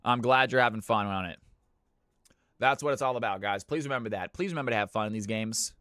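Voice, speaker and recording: A man streaming live and hosting viewer games, chatting casually with his audience. His voice is bright and smooth, and it is recorded dry and close. Every so often he launches into a loud hype-announcer voice. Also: on the low side, fast, dry recording. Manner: hype announcer bursts between easygoing chat.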